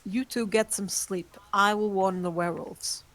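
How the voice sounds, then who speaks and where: A woman voicing an ancient female vampire who speaks in a calm, formal way. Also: mid-range voice, moderate pace, lightly acted. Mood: composed. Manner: composed, formal. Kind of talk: amateur online table read.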